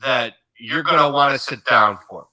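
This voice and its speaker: Congested man with a bright, sharp voice. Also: mid-range voice, moderate pace, low-quality recording. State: congested from a cold. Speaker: gaming news commentator. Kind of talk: scripted video commentary.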